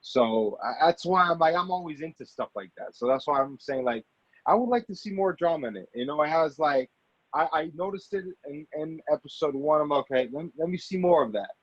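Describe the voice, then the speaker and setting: A man being interviewed as an actor, chatting casually and haltingly, often repeating phrases for emphasis. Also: fairly high pitch, expressive, no echo. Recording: dull, muffled lo-fi audio.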